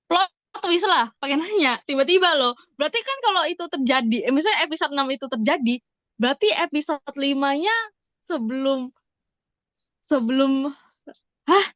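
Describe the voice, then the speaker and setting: An Indonesian woman chatting casually as a podcast co-host, asking questions in a halting way and repeating her words. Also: high voice, fairly bright tone, dry recording, muffled recording. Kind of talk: casual chat.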